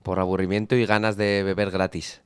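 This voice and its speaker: A man's voice: low voice, little pitch movement, fairly quick, clean tone. A young man from Madrid, an easygoing band member telling stories and joking in a radio interview. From Madrid, Spain. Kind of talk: casual radio interview chat.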